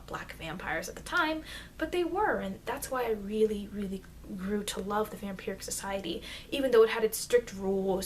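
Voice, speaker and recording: A woman playing a chatty, informal immortal vampire teacher in an ASMR roleplay, rambling hesitantly and confidingly, with soft dips into whispering. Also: medium pitch, lightly acted. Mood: chatty, earnest. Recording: noisy amateur microphone.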